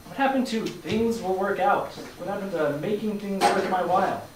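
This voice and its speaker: A man's voice: high voice, moderate pace, crowd noise, close to an everyday voice. A man acting in a staged play reading as a skeptical, grumbling customer with a dry, wry delivery. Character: skeptical, dryly grumbling male customer, a bakery regular. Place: live staged reading in a room. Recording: roomy, distant mic, faint noise.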